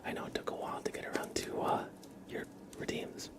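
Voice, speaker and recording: An American man hosting an ASMR livestream, speaking in a soft, breathy whisper with an unhurried, gently reassuring manner. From East Coast of the United States, near New York. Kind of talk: whispered ASMR, casual livestream chat and card reading.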